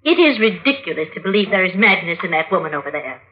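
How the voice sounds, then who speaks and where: Indignant, haughty vengeful widow. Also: female voice, on the low side, sing-song, fast talker. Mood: indignant, bitter. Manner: haughty, emphatic, theatrical. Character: indignant, vengeful widow accusing her rival in court. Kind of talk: old-time radio acting. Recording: dull old radio recording.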